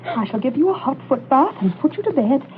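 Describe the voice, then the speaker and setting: A genteel wife and mother in a radio play, dutiful and fussy. Her voice sits fairly high with wide melodic swings. The old recording is thin with light hiss. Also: very animated, brisk, narrow, tinny audio. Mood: fussing. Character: genteel middle-aged English mother, fussily composed, dutiful wife. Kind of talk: old-time radio drama acting.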